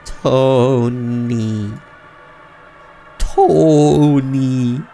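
A man's voice: mid-range voice, extremely slow, creaky, warm tone, faint music behind, voice pushed up. A man voicing a timid, whiny little sister, a scared young girl pleading in a horror visual novel. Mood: urgent calling.

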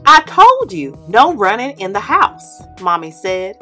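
A young woman, host of the channel, reading a children's picture book aloud in an expressive, animated way. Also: mid-range voice, unhurried, soft background music. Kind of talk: read-aloud storytelling. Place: indoor studio with plain orange backdrop.

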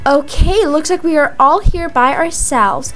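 High-voiced chatty teenage schoolgirl played by a woman. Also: measured pace. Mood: chatty, planning. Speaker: roleplay video creator. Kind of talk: voiced video game roleplay, casual scripted chat. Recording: music behind the voice. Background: background music.

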